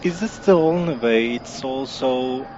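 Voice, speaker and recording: A man's voice: mid-range voice, a little slow, natural voice. A man playing a doubtful, impatient traveler in an audio drama, dismissive, on a dull and noisy recording. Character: doubtful, impatient male traveler sceptical of new technology.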